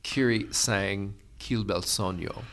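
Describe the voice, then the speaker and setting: A man's voice: fairly low pitch, steady tone, slow, vocal fry, a bit bright, clean tone. A man hosting an interview as a company director, chatty and fond of telling anecdotes. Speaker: host and company general director.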